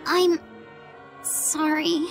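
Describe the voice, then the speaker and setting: A woman's voice: high voice, little pitch movement, extremely slow. A woman playing an earnest, worried young boy in a game cutscene, with a light, childlike voice. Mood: apologetic. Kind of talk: dramatic game cutscene acting. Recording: background music under voice.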